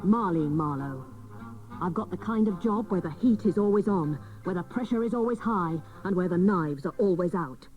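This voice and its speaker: Woman playing a deadpan comic private detective. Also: on the low side, normal speed, phone-quality sound, faint music behind, natural voice. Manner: deadpan, mock-dramatic. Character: dotty female private detective, mock hard-boiled. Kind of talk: comic radio drama first-person narration.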